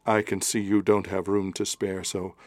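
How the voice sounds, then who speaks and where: A man's voice: low-pitched, flat delivery, moderate pace, darkish tone, no room sound, close to an everyday voice. A man playing a weary, humble wandering stranger in a children's story, speaking politely and hesitantly. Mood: grateful, humble. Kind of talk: children's story podcast character acting.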